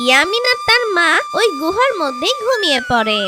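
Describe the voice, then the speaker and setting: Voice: very high voice, very animated, a little fast, over music. A narrator reading a scripted children's moral story in a childlike storytelling voice.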